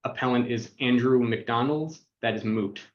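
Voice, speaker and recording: A man's voice: medium pitch, normal speed, highs cut off, a little hiss or hum. Formal man reading a case list. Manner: formal, methodical, reads numbers and addresses. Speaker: city attorney.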